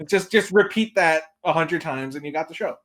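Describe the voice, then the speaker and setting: A man's voice: a little high, a little fast, a bit bright. A man chatting casually and giving emphatic opinions as a podcast guest, repeating points for effect. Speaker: podcast guest, film and game pundit.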